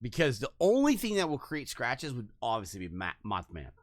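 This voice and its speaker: A man co-hosting a podcast in a casual chat, skeptical and quick with a joke. His pitch swings widely as he talks. The sound is close and dry. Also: wildly swooping pitch, no room sound. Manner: skeptical, joking, keeps prompting. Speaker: podcast co-host.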